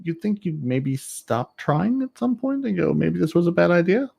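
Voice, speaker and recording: A man's voice: high voice, sing-song, normal speed, creaky, a little mellow, breathy edge. A man hosting a gaming podcast, chatting casually in a wry, jokey way. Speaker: podcast host.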